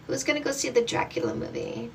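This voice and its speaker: A woman's voice: high voice, wildly swooping pitch, quick pace, gravelly, recorded from a distance, slight background noise. A woman dating coach from Canada hosting a live stream, reading viewer comments aloud in an upbeat, affectionate way.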